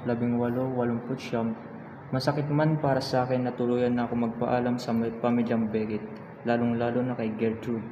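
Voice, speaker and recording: An earnest young hero recounts his life in a radio drama acted by a man with a Filipino accent. His delivery is very even, and the sound is noisy and echoes like a big hall. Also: mid-range voice, close to an everyday voice. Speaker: student actor in a radio drama. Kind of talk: scripted first-person narration in a student radio drama.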